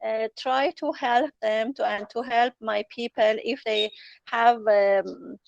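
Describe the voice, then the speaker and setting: A woman's voice: on the high side, slow, creaky, no room sound, muffled recording. A woman whose first language is Farsi, a journalist and travel writer answering interview questions hesitantly, with many fillers and restarts. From Iran.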